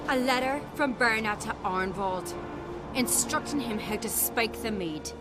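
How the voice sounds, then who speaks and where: A woman's voice: fairly high pitch, measured pace, over loud music, lightly acted. An actress playing a bold, determined young clanswoman in a fantasy game, speaking directly and resolutely. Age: young adult. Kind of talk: dramatic fantasy game acting. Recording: dramatic score behind dialogue.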